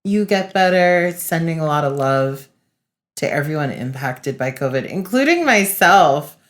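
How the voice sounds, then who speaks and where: A woman's voice: low voice, animated, measured pace. A young woman co-hosting a podcast, speaking in an earnest, reflective way with many fillers. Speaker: podcast co-host, facilitator. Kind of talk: casual podcast chat.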